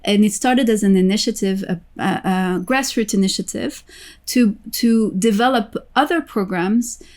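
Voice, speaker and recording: Woman from morocco on a podcast, talks slow and thoughtful, medium pitch, lots of uhs. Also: a little mellow. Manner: thoughtful, measured, with frequent fillers. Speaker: guest, social entrepreneur and impact investor. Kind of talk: podcast interview answers.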